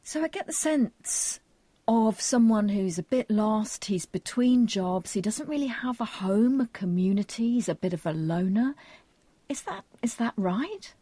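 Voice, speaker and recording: A woman's voice: medium pitch, animated, a little slow, dark tone, no echo. A woman presenting a radio history documentary, moving between scripted narration and interview questions.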